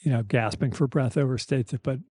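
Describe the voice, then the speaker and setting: A middle-aged or older man speaking candidly and haltingly as a podcast host, with a soft, slightly weakened voice, a slight lisp and wry reflection. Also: medium pitch, steady tone, husky, no echo, clean studio sound. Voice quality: slight lisp, thick-tongued articulation. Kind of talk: reflective personal conversation.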